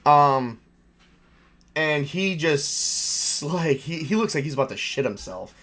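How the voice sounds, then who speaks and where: A man's voice: a little high, lively intonation, takes it slowly, fairly bright tone. A man running a tabletop roleplaying game as game master, narrating casually and conversationally.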